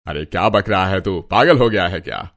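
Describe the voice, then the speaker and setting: A man playing a deep-voiced old village father. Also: expressive, moderate pace, a bit bright. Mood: outraged. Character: Raghav, simple trusting old village father. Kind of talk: Hindi cartoon story dub.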